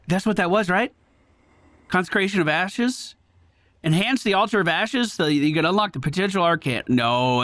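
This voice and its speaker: A man doing casual gameplay commentary as a streamer, chatty, informal and expressive. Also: sits high, dry recording. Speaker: game streamer.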